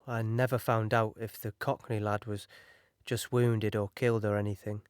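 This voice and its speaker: An actor playing a British infantryman in an audio drama, recalling the war in a measured, matter-of-fact, musing way. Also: lowish voice, little pitch movement, smooth, no echo, clean studio sound, close to an everyday voice. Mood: sombre, musing. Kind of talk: audio drama monologue, conversational recollection.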